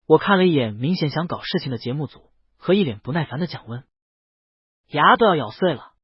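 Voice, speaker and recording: Husky, animated male story narrator. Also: fairly high pitch, fairly quick, no echo, dull audio. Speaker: audiobook narrator. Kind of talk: scripted first-person story narration.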